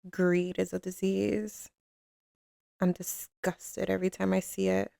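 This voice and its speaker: A woman's voice: mid-range voice, flat delivery, measured pace, gravelly, dark tone, no echo, clean studio sound. A woman playing a tough, commanding mafia boss who turns tender and teasing with her boyfriend, in an intimate ASMR roleplay with streetwise talk. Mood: disgusted, scornful. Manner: drawling, teasing, streetwise, with pet names.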